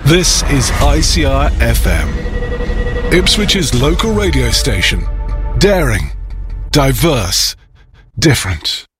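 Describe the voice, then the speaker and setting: A man's voice: mid-range voice, sing-song, slow pace, long pauses. A man reading a radio station ident and advert in an upbeat promo delivery. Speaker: station announcer. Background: music bed.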